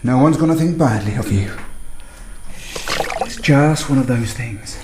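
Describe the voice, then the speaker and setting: A man playing a calm, controlling British murderer in a radio thriller, with coaxing menace. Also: medium pitch, measured pace, close to an everyday voice. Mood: quietly menacing. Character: cold, controlling male lover calmly staging a murder. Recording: echoey room with faint noise.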